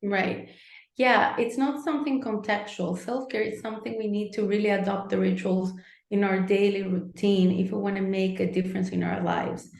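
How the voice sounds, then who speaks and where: A woman speaking as the guest therapist, giving advice in a calm, earnest and encouraging way. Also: medium pitch, moderate pace, vocal fry. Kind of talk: advice-giving interview talk. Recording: echoey room.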